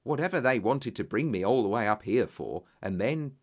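A man's voice: mid-range voice, lively intonation, normal speed, lightly acted. A man voicing an earnest, puzzled young clerk in an audiobook story, polite but insistent.

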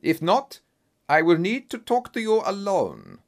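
A man voicing a huge, secretive masked nobleman in a storybook, with a German accent and a booming, pompous, formal delivery. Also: huge pitch swings, measured pace, gravelly, exaggerated voice. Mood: grave, commanding. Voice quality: deep, booming.

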